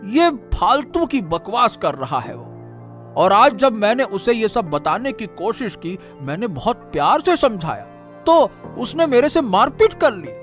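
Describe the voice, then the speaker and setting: A man plays an aggrieved cartoon farmer with a high, very lively voice. He pleads his case deferentially and plaintively, with soft music behind. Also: a little slow, heavily acted. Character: troubled, aggrieved village farmer. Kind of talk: Hindi cartoon moral story dialogue.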